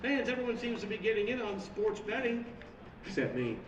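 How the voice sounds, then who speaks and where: A man's voice: high-pitched, very animated, fairly quick. A man reading a scripted public service ad, with a dull, echoey, distant sound over crowd noise. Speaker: public service announcement reader. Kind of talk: scripted ad read.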